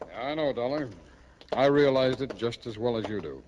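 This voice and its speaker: A man performs a shrewd American arson squad captain in a 1950s radio play. His mid-range voice is expressive yet firm and matter-of-fact. Faint noise sits under the old recording. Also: medium pitch, moderate pace, lo-fi sound, lightly acted. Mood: firm, explanatory. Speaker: supporting radio actor. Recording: noisy vintage radio recording with clicks.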